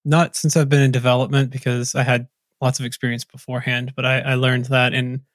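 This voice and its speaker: A man from Tennessee co-hosting a casual podcast, reading out discussion points in a relaxed, agreeable way. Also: mid-range voice, brisk, no echo, clean studio sound. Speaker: podcast co-host. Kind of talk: casual chat, reading list items.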